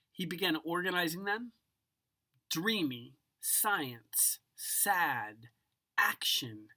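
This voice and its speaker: A woman giving an expressive children's picture book read-aloud, savoring words dramatically and speaking directly to the listener. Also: sits low. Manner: savors single words with drawn-out, dramatic delivery and occasional whispers. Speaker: storybook reader.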